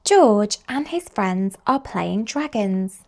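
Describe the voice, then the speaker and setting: A woman reading picture books aloud to young children in an expressive, slow storytelling manner. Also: mid-range voice. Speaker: children's storybook reader and host.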